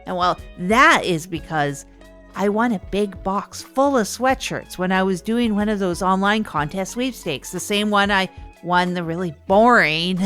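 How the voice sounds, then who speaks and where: Awkward, earnest comedy podcast host played by a woman, mid-low female voice, digressive storyteller, faint music. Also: a bit bright, lightly acted. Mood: chatty aside. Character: Tog, awkward down-on-their-luck small-town podcast host. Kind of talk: rambling comedic monologue storytelling.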